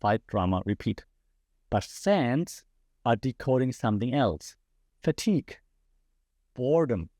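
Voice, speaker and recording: A man's voice: medium pitch, lively intonation, a little slow, pauses often, warm tone, no room sound, studio quality. A man with a German accent hosting a podcast, giving passionate solo commentary and putting rhetorical questions to his listeners. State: slightly losing his voice. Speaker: podcast host.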